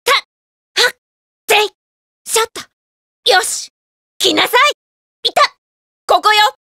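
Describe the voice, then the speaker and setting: A woman's voice: extremely high pitch, slow, creaky, no echo, studio quality, heavily acted. A woman playing a spirited, determined young female martial artist in a video game, calling out punchy battle lines. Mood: energetic exertion. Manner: energetic, punchy shouts and short battle cries.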